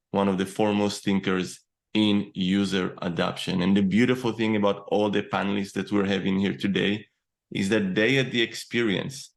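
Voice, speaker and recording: A man's voice: lowish voice, creaky. A man hosting an event as MC, presenting the program in an upbeat, promotional way. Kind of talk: scripted event introduction.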